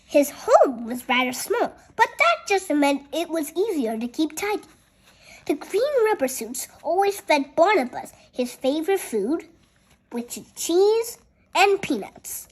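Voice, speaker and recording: A storyteller reading a picture book aloud in a careful, halting way, with childlike, chatty asides about the pictures. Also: extremely high pitch, very animated.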